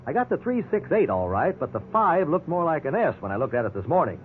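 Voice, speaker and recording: A man's voice: fairly high pitch, sing-song, quick pace. An American man acting in a 1950s radio drama as an ordinary, good-humored fellow telling of his wrongful arrest. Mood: relieved, amused. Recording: tinny, hissy 1950s radio recording.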